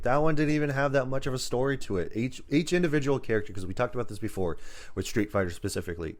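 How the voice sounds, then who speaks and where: A man hosting a podcast in a casual, rambling chat, listing things off as he goes. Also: mid-range voice. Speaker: podcast host.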